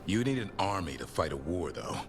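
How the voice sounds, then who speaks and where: An actor playing a sober, matter-of-fact companion in a fantasy game, speaking with grave restraint. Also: male voice, sits low, sing-song, brisk, soft background music. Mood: grim. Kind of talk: fantasy video game dialogue.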